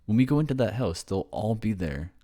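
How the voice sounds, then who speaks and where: A man's voice: fairly low pitch, very animated, a little fast, warm tone. A man acting in an ASMR roleplay as an earnest, reassuring boyfriend raised by ghosts, with a hesitant manner. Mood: insistent, pleading.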